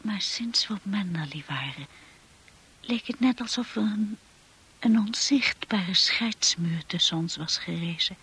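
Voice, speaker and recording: A shy young wife in a radio drama. Her voice is extremely breathy and hushed, at an ordinary female pitch. She sounds unsure of herself and speaks timidly. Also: unhurried, full character voice. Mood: wistful, uneasy. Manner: hesitant, timid, apologetic. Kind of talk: radio drama narration and acting.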